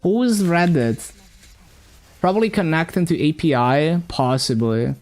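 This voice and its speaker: Italian streamer, mishmash accent, rambly, says like a lot, middle pitch. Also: lively intonation, a little slow, a little mellow. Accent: mixed, self-taught English accent. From Italy. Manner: rambling, lots of "like" and restarts. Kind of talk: casual live-stream chat while gaming.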